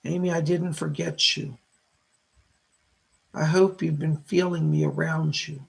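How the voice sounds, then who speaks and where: Weak, gravelly male spirit, channeled by a man. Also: on the high side, flat delivery, full character voice. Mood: straining, tender. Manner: halting, earnest, tender. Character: Thomas, weak-voiced remorseful male spirit of a loved one. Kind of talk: spiritualist trance mediumship.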